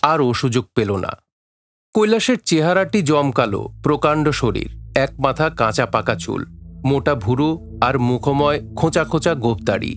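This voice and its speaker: A man with a medium-pitched voice narrates an audio drama. He reads scripted dramatic prose as storyteller, over faint music. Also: dry recording.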